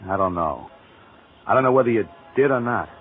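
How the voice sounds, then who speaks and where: Man playing a brooding low-voiced ex-con, tinny radio. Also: lively intonation, a little fast, soft background music, natural voice. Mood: bitter, defiant. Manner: slangy, clipped, tough-guy talk. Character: bitter, brooding ex-convict down on his luck. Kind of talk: 1940s radio acting. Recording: tinny old-time radio recording.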